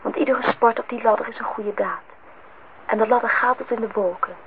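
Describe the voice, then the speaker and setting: An actress playing a gentle, dreamy, candid woman in a Dutch radio drama, speaking in a lyrical, earnest way. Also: a little high, fairly quick, natural voice. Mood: tender, earnest. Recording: tinny, band-limited, noisy old radio recording.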